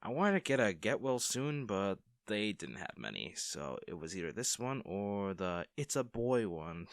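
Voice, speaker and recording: Low-voiced, kind, bashful young stranger, acted by a man. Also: big pitch swings, unhurried, no room sound, studio quality. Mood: shy, flustered. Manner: gentle, playful, hesitant and flustered. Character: kind, bashful male stranger comforting an injured crash survivor. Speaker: audio roleplay performer. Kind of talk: intimate audio roleplay acting.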